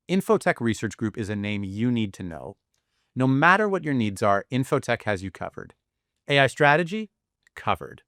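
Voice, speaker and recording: A man's voice: mid-range voice, a bit bright, no room sound, studio quality. A man speaking as a podcast host and interviewer. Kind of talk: conversational interviewing.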